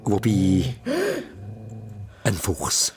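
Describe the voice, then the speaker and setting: A man's voice: deep voice, very slow. A man with a Swiss German accent narrating a children's story dramatically, in short, suspenseful phrases. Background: music.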